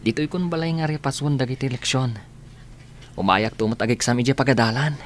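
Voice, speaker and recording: An actor playing a gentle, determined, ailing schoolboy and devoted son in a radio drama. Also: male voice, medium pitch, a little fast, close to an everyday voice. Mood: earnest. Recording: faint background ambience and noise.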